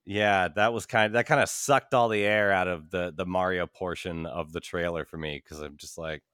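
A man's voice: deep voice, fairly even intonation, normal speed, a bit bright, clear, resonant tone, no room sound, clean studio sound. A man co-hosting a podcast in casual chat with a wry, offhand manner. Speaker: podcast co-host.

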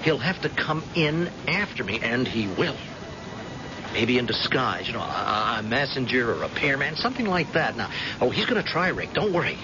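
An animated, stubborn police captain, blinded but insistent, played by a man in an old radio drama. He protests and reassures with halting starts. The recording is dull and clearly noisy. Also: medium pitch, natural voice. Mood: defiant.

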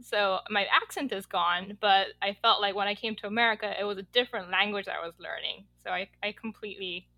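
A woman interviewer who grew up speaking Korean talks conversationally in English. Her voice is bright and medium in pitch, captured close and dry with very little room sound. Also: brisk, few pauses, dry recording, rough recording.

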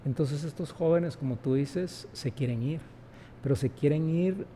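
A man's voice: fairly high pitch, a little slow, dark tone, slight background noise. A man, speaking emphatically as an interview guest and often adding rhetorical tag questions. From Guatemala. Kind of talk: reflective conversational interview.

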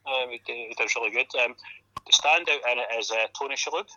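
A man's voice: medium pitch, brisk, bright, forceful tone, no echo, dull audio. A man co-hosting a podcast from his bed at home, nursing a cold and sounding a bit snuffly, laid-back and cheerfully sweary. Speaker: podcast co-host. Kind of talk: casual chat.

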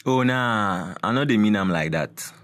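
A man acts a boastful rich Ghanaian lad in a radio play. His pitch is very lively, while his delivery is unhurried and drawn out. He is breezy and teasing. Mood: amused, mocking. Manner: jokey, mocking, drawn-out. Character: breezy, boastful rich young male friend.

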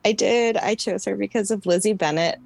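Woman podcast editor, bit low with gravelly fry, dry close sound, casual and giggly. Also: a little fast, darkish tone, no echo. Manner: chuckles often while talking. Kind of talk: casual chat.

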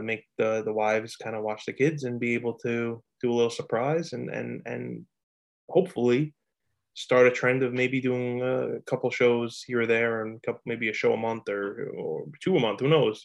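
A man's voice: mid-range voice, fairly even intonation, normal speed, creaky. A man co-hosting a podcast in a loose, rambling casual chat, often breaking into laughter. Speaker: podcast co-host.

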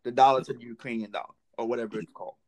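A man in casual podcast chat, joking and animated. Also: mid-range voice, rapid-fire. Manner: animated joking, laughs loudly.

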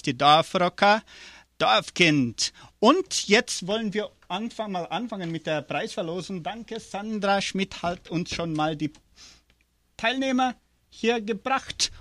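A man's voice: high-pitched, fairly bright tone, no echo. A man hosting a live interview and prize draw, chatty and upbeat with frequent hesitations. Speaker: radio host.

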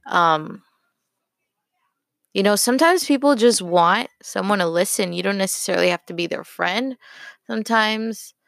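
Gravelly, earnest woman podcaster. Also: mid-range voice, normal speed, pauses often, fairly bright tone, no echo, clean studio sound. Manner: earnest, rambling, lots of fillers. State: slightly hoarse. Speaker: podcast host. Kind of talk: casual solo podcast talk.

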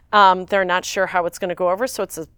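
A woman in her forties, an actress guest, talking in a rambling, animated way and laughing often. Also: on the low side, fairly quick, clean tone, dry recording. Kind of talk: casual interview chat.

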